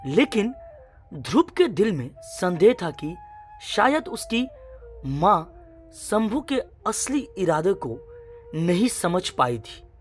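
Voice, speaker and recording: A woman tells a dramatic suspense story in a fairly low voice. Her pitch moves widely while she goes slowly, phrase by phrase, through the scripted narration. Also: very animated, soft background music.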